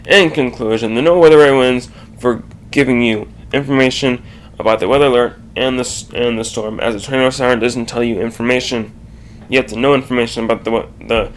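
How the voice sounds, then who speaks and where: A man reading a scripted slide presentation aloud, haltingly, correcting himself and adding asides. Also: mid-range voice.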